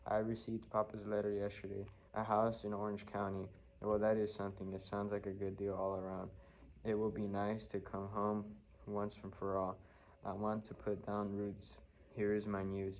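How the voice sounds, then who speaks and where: Male AI-style audiobook reader, low and unvarying, stumbling oddly, faint noise behind. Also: monotone, moderate pace, low-quality recording. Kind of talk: scripted narration of a children's novel.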